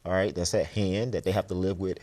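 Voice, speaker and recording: A man's voice: low voice, fairly even intonation, a little fast, darkish tone. A man speaking as an interview guest, explaining his views in an emphatic, earnest, motivational way. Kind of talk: conversational, motivational talk.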